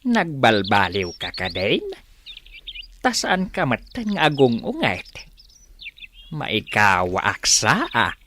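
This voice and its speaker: An affectionate old Ilocano village farmer, easily amazed, in radio comedy style. The voice is sharp and forward, drawn out at a slow pace with big swings in pitch. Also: male voice, wildly swooping pitch, a little hiss or hum, exaggerated voice. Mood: surprised, doubtful.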